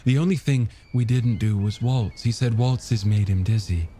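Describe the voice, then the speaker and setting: A man's voice: fairly low pitch, moderate pace, mellow, slight background noise, natural voice. A man voicing a wistful, nostalgic married woman in an audiobook, reminiscing with some hesitation. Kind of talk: audiobook character dialogue.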